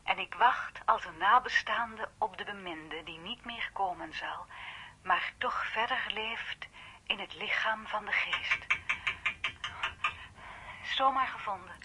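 A woman's voice: medium pitch, slow pace, bright, forceful tone. An actress playing a hesitant, anxious woman calling her lover from a phone box in a radio drama, faltering as she speaks. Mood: wistful, reciting. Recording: noisy street phone-box call.

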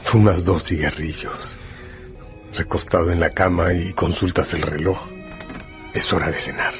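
Very deep male story narrator. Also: steady tone, a little slow, over music. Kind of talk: scripted second-person radio drama narration.

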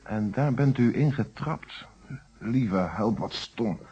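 A man's voice: mid-range voice, expressive, slow pace, mellow, slightly rough, exaggerated voice. An actor playing a blunt, probing police inspector in a radio play, stern and lecturing as he questions people. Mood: wry. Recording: muffled vintage radio play recording.

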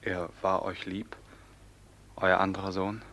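An actor playing a tormented, brooding robber captain in a classical radio play, with solemn theatrical diction that swings from hushed to outcry. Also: male voice, deep voice, little pitch movement, unhurried. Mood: subdued dread. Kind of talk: classical German radio play acting, declamatory stage diction.

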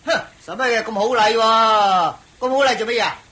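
A man's voice: high voice, brisk, pushed and bright. An actor playing a grumpy, superstitious male traveller in a Cantonese radio drama, stammering and blustering rudely in a Hong Kong accent. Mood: scornful, indignant. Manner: blustering, repetitive, stammering exclamations. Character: grumpy, superstitious, easily spooked clansman traveller, rude.

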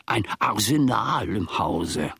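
Gravelly, slow, melancholy manservant. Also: male voice, on the low side, lively intonation, sharp tone, no room sound, clean studio sound, exaggerated voice. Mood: wry reminiscing. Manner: ruminative, dramatic, halting delivery with long pauses. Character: melancholy, wryly self-mocking old manservant looking back on his life. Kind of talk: radio play monologue.

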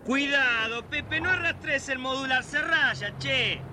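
An actor playing a loud man calling out in the street in a radio drama. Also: extremely high pitch, expressive, slow pace, full character voice. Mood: bossy. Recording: noisy street scene behind.

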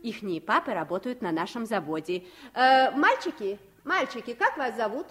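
A woman's voice: fairly high pitch, animated, moderate pace, fairly bright tone. A woman playing a fussy, condescending well-to-do hostess who bustles and repeats herself, in a 1950s Soviet radio play. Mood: bustling.

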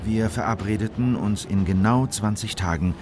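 A man playing a Bolivian who recounts a clandestine operation, measured and earnest, in a scripted radio drama. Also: on the low side, music in the background, natural voice.

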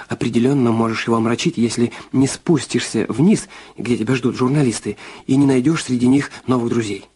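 A man plays a dapper little studio publicity boss in an audio drama. His voice is medium-pitched and husky. He nags and coaxes with patronizing irritation, like someone used to managing stars. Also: a little mellow, close to an everyday voice. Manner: nagging, cajoling, patronizing. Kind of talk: Russian audio drama acting.

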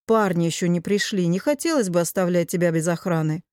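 A gentle orc bodyguard, read by a woman narrator, devoted and protective. He talks softly and mildly in a fantasy audiobook, with a dry, close sound. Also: lowish voice, a little fast, no room sound, pitched below the performer's own voice, natural voice. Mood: gentle concern. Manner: soft, mild.

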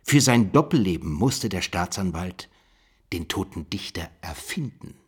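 A pondering investigator, deep husky voice, reasoning aloud, radio play dialogue. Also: male voice, expressive, unhurried, full character voice. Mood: intrigued. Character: pondering male investigator puzzling over a literary case.

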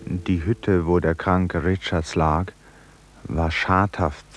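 A man acting in a German radio play as a reflective painter, with a measured, literary delivery. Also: low-pitched, steady tone, soft background music. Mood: reflective, melancholy. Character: reflective, helpful male painter recalling jungle expedition.

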